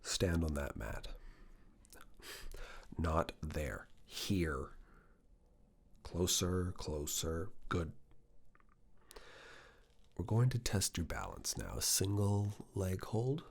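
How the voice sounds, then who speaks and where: A man in an ASMR roleplay playing a stern, controlling yet reassuring fitness assessor, giving hushed, clipped commands in a breathy, gravelly voice that often slips into whispers. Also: low-pitched, big pitch swings, drawn-out words, long pauses, full character voice. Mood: calm, commanding. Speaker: ASMR performer. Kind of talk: ASMR personal attention roleplay.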